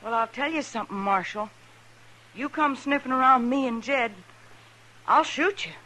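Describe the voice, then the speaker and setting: Defiant, sharp-toned frontier woman. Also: high-pitched, normal speed, a little hiss or hum, low-quality recording. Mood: threatening, stubborn. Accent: rural American Western. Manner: curt, folksy, combative. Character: defiant, stubborn frontier woman devoted to her man. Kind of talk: 1950s western radio drama acting.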